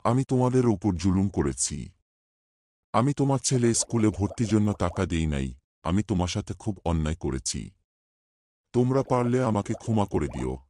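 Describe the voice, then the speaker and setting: A man's voice: on the low side, lively intonation, normal speed, mellow, dry recording, studio quality. A man playing a remorseful older moneylender in a cartoon, pleading and confessing. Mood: sorrowful. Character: remorseful, humbled older male moneylender landlord. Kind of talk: cartoon voice acting.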